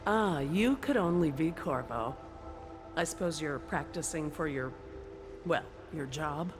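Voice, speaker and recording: Casting: actor as polite, fluttery game servant, middling pitch, hesitant and chatty, over noisy ambience. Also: natural voice. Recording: clear background noise and ambience.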